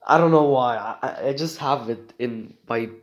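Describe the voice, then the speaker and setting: A man's voice: medium pitch, moderate pace. Rambling teenage boy. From Romania. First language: Romanian. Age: teens. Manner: rambling, joking, many restarts and slang. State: sick. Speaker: vlogger. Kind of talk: casual rambling monologue.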